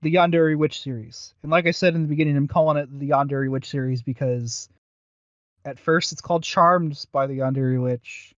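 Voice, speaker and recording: A man's voice: on the high side, steady tone, normal speed, no echo, highs cut off. A man co-hosting a podcast, talking casually and somewhat hesitantly as he reviews audio dramas. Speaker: podcast co-host.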